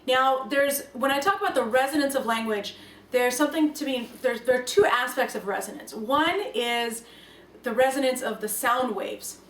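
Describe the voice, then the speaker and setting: A young woman whose first language is Russian speaks to the camera in an earnest, reflective way, stressing her key points with some life. Her voice sits a little high. The room is echoey, she sits some way from the mic, and a faint hum runs beneath her. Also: moderate pace, distant mic. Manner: earnest, reflective, lively emphasis. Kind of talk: personal talk to camera.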